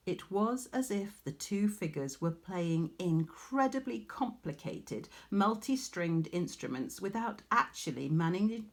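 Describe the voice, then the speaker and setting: A woman with an English accent narrates a children's story like a teacher. Her voice sits a little low, moving slowly with lively, animated intonation.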